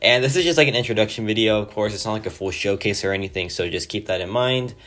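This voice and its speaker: A man with a hoarse, sore-throated voice giving casual, enthusiastic gameplay commentary over a home mic. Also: lowish voice, fast, a bit bright. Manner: enthusiastic, rambling, many "like" fillers. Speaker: mobile game player.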